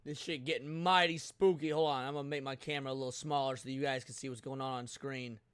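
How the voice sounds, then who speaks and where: A man's voice: mid-range voice, steady tone, quick pace, fairly bright tone, smooth, no echo. A man hosting a livestream, giving casual reaction commentary full of swearing and slang. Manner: profane, slangy. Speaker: livestream host. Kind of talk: casual live reaction commentary to horror series.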